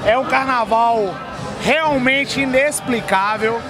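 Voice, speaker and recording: A man in his thirties with a very high voice sounds pleased and enthusiastic. He speaks into a handheld mic at a night-time carnival, with music and a crowd behind him. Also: takes it slowly. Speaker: first-time carnival guest. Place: outdoor carnival box at night. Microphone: handheld mic held by interviewer.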